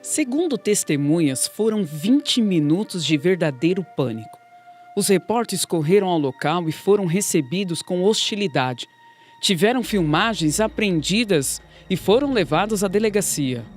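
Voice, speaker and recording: A woman's voice: lowish voice, lively intonation, normal speed, a little mellow, soft background music. A woman with a Brazilian accent reading scripted narration for a radio documentary.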